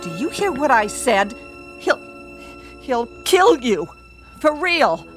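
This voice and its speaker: A wary gangster's moll in a radio drama. Her high voice swings widely in pitch, sharp-tongued yet uneasy, with music clearly underneath. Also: huge pitch swings, slow, heavily acted. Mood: warning.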